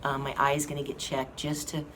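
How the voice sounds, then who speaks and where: A hoarse woman giving a slow, halting update to her viewers from a hospital room. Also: rumbling low, completely flat delivery. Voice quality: slow, halting speech with many fillers. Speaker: vlogger giving a health update. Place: hospital rehab room with an air conditioning fan. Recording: noisy room with fan hum. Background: air conditioning fan.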